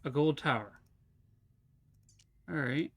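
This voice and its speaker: A man's voice: a little high, slow pace. A man streaming a puzzle game live, chatting casually and thinking aloud as he looks around. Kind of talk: casual live gameplay commentary.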